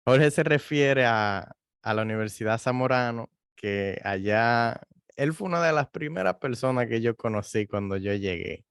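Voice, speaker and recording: A man's voice: mid-range voice, animated, normal speed, no echo, studio quality. A man hosting a science podcast, introducing a guest and asking friendly, informal questions. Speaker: podcast host. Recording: music clearly behind the voice.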